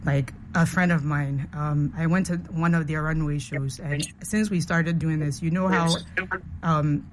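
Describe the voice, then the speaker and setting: Low-voiced woman organizer, thoughtful. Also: steady tone, a little slow, slight background noise. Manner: thoughtful, explains at length. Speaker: masterclass organizer. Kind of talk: casual interview.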